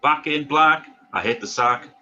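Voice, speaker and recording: Man acting a bright-voiced, sarcastic rig worker, muffled recording. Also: on the high side, unhurried. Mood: cheeky. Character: crude, sarcastic oil rig worker, a man, needling his mates. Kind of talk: table read of a TV drama script.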